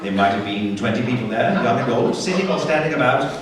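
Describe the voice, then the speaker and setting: A man narrating a ghost tale as scripted radio play, measured and theatrical. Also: medium pitch, lively intonation, distant mic, close to an everyday voice. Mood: storytelling. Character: measured male storyteller of a Victorian ghost tale. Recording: very echoey hall from afar, faint noise.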